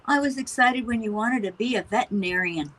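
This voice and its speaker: A woman's voice: fairly high pitch, a little fast, a bit bright, low-quality recording. An actress playing a disapproving middle-aged mother in a stage play, pointed and exasperated. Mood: exasperated, indignant. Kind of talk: conversational stage-play dialogue.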